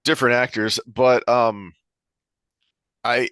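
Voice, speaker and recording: A man's voice: mid-range voice, flat delivery, slow, a bit bright, clean tone, no room sound. A man co-hosting a discussion podcast, talking conversationally in a thoughtful, explanatory way that wanders into side points. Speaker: podcast host discussing books.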